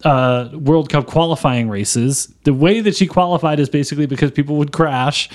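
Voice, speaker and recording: A man's voice: fairly high pitch, normal speed, a little mellow. Mock-serious man arguing a case. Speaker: podcast co-host. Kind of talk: casual debate chat.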